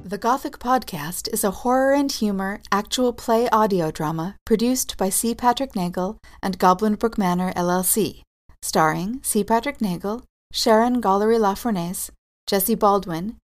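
A woman's voice: medium pitch, normal speed. A woman announcer delivering scripted closing credits narration for a show. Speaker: show announcer and cast member.

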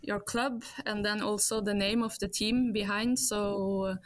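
A woman from Norway, a guest in a casual interview, answering in halting English as she searches for words. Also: medium pitch, fairly even intonation, dry recording.

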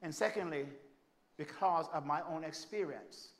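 A man with a Jamaican accent preaching a sermon, moving between loud, emphatic declarations and soft, hushed storytelling. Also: a little high, measured pace. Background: congregation calling out amens.

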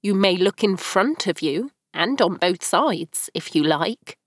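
A woman's voice: mid-range voice, lively intonation, takes it slowly, dry recording, clean studio sound. A woman voicing a brusque, matter-of-fact old sheep shopkeeper in a storybook.

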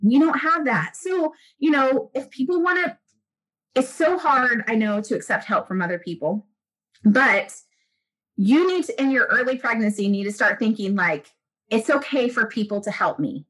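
A woman's voice: a little high, animated, moderate pace, fairly bright tone, husky, poor audio. A woman speaking as a guest in a casual interview, earnest and chatty with many fillers. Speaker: guest, breastfeeding expert.

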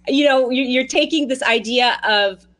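A woman's voice: sits high, normal speed, fairly bright tone. A woman hosting a podcast in a casual, conversational, enthusiastic and affirming way. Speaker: podcast host.

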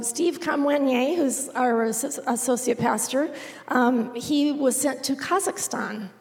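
A woman giving an unhurried missionary report to a congregation, pausing often with "um". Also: on the high side, gravelly, darkish tone. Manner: unhurried, with frequent "um" pauses. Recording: long reverb of a large hall.

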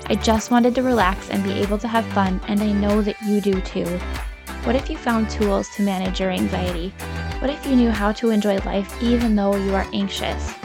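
A woman in her thirties hosts her own podcast, speaking sincerely and encouragingly to the listener. Her voice is scratchy and a bit fried from lack of sleep, with a creaky edge at the bottom of her phrases. A faint music bed plays beneath her. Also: medium pitch, fairly even intonation, moderate pace. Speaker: podcast host. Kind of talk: podcast monologue.

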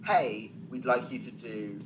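A man's voice: medium pitch, fairly even intonation, unhurried, noisy background. A man speaking as a podcast guest, a stand-up comedian telling anecdotes conversationally with self-deprecating, wry asides.

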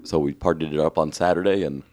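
A hoarse man with a raspy voice, co-hosting a podcast in earnest, reflective casual chat. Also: very deep voice, fairly even intonation, fast talker, darkish tone. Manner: earnest, reflective, restarts often. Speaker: podcast co-host.

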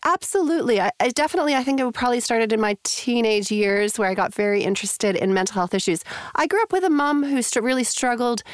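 A woman's voice: on the high side, animated, fast, no room sound, studio quality. A middle-aged woman from Canada with a Canadian accent, a psychology professor answering interview questions thoughtfully, with many fillers and restarts.

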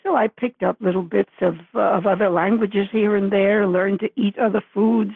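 An older woman from the Dominican Republic, a native Spanish speaker, telling stories thoughtfully with many pauses over the phone. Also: medium pitch, steady tone, no room sound. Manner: thoughtful, measured, many uhs. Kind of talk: interview storytelling. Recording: gravelly phone line.

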